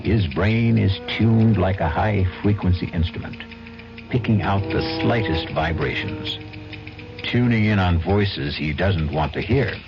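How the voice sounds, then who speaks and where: A man hosting and narrating a radio mystery drama in a measured, theatrical way. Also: deep voice. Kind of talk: scripted narration. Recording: muffled old radio broadcast with music.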